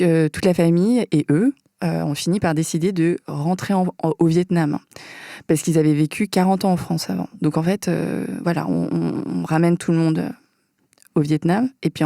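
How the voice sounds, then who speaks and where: A woman with a warm, mellow voice talks as a guest in a relaxed podcast conversation. She gropes for words and pauses often with fillers. The sound is dry and close-miked. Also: deep voice, gravelly, no room sound. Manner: hesitant, searching for words with frequent fillers. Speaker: guest filmmaker. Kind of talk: casual conversation.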